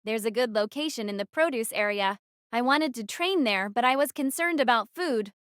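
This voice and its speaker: An actress playing an earnest, determined young team captain in an audio drama, with a businesslike manner. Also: female voice, a little high, expressive, moderate pace, a bit bright, smooth, no echo, clean studio sound. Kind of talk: anime-style audio drama acting.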